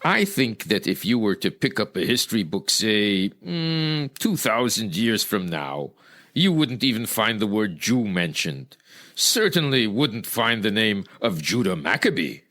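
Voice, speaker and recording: Smug high priest played by a man, low and bright, theatrical and dismissive, for radio drama. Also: sing-song, measured pace. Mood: scornful, emphatic. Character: smug pragmatic high priest favouring assimilation. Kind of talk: old-time radio style acting.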